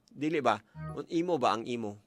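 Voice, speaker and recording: A male radio host with a Cebuano accent chats casually with a caller. His mid-pitched voice is informal and teasing, and music plays prominently underneath it. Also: lively intonation, brisk. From Philippines. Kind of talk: casual radio call-in chat. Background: background music.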